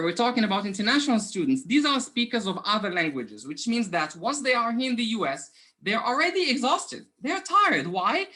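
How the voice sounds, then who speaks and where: A man's voice: very high-pitched, sharp tone. A man from Morocco with a Moroccan accent, giving an earnest academic conference presentation over a video call, with frequent fillers and self-corrections. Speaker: conference presenter, assistant professor.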